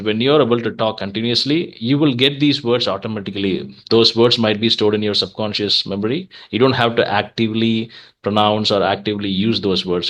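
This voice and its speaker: A man's voice: on the low side, a bit bright. A young man from Tamil Nadu with a Tamil-tinged Indian English accent, earnestly explaining his views in a casual conversation-practice chat with many "uh" fillers. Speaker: host of English practice channel.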